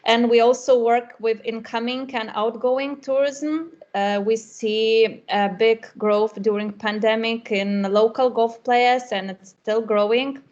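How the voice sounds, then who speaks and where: Woman, fairly high voice, halting intro, muffled video-call audio. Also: steady tone, a little mellow. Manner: halting, many fillers. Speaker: golf club representative. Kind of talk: online meeting introduction.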